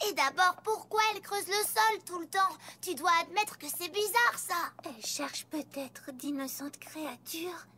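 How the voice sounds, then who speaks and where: Squeaky, excitable cartoon pony girl. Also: a little slow, few pauses, noisy background. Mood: insistent. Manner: hyper, theatrical, breathless gossip. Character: excitable, silly young female pony, dramatic scaremonger. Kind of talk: animated cartoon dialogue dub. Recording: loud music behind the voice.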